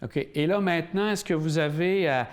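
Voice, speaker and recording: A man's voice: on the high side, normal speed. A man hosting a local TV interview, asking questions with many fillers and hesitations. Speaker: interviewer and host. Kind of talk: community TV interview.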